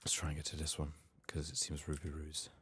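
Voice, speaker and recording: A man quietly narrating a game playthrough in soft-spoken ASMR style, murmuring to himself and often dropping toward a whisper. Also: rumbling low, monotone, fairly quick, no echo. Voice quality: soft, hushed, breathy in whispers. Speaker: gaming streamer.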